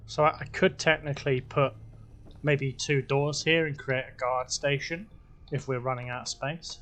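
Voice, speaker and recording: A man giving relaxed, casual gameplay commentary, talking to himself as he builds, his voice a little croaky. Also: medium pitch, normal speed. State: slightly croaky voice. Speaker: gaming video host.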